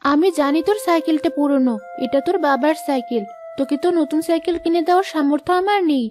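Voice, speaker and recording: A woman's voice: high voice, fast talker, music in the background, close to an everyday voice. A woman playing a poor, loving village mother in a cartoon, plaintive and caring. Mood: careworn, resolute. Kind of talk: Bengali cartoon moral story dialogue.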